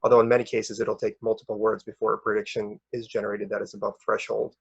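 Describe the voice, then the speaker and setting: A man presenting academic research as a researcher, explaining step by step in a hesitant, halting way with a gravelly, husky voice. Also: on the low side, flat delivery, dry recording, muffled recording.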